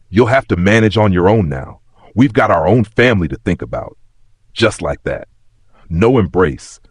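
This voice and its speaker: A man narrates a sentimental tale in a very low, husky voice. His pitch moves in lively swings as he tells it with folksy drama. The sound is dry and close. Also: no echo. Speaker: storyteller, narrator. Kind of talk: scripted sentimental story narration.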